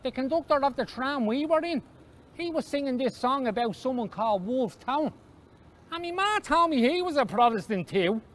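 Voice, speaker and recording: A man's voice: very high-pitched, animated, normal speed, fairly bright tone, pitched above the performer's own voice. A man voicing an inquisitive, persistent young Irish boy with an eager, questioning manner, in a story reading. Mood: curious.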